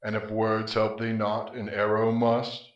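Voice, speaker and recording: Slow, low-voiced man as scornful Death. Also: monotone, close to an everyday voice. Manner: archaic, theatrical, defiant. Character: Death, grim scornful spirit claiming the doomed. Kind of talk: verse stage drama, declamatory. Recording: muffled, echoey, distant from mic.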